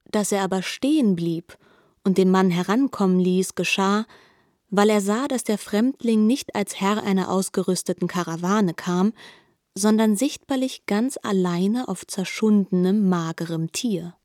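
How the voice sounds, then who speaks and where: A woman narrates literary prose for a radio drama. Her voice is of average pitch, recorded dry and close, with no room around it. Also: measured pace, a little mellow, dry recording.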